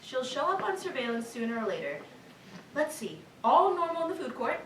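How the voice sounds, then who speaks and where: A woman's voice: high-pitched, big pitch swings, brisk, fairly bright tone. A woman playing an exasperated, responsible boss in a staged reading of a cartoon script. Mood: dry. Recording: distant echoey room, faint noise.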